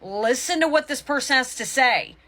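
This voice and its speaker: Bright, higher-voiced woman reading tarot. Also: expressive. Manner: emphatic, halting and self-correcting. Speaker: tarot reader. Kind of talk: casual spoken tarot reading to viewers.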